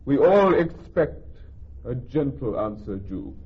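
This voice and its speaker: An actor playing a grave, authoritative ruler presiding over a court in Shakespearean radio drama, formal and measured. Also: male voice, mid-range voice, big pitch swings, husky, exaggerated voice. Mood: stern appeal. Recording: thin, phone-like old radio recording.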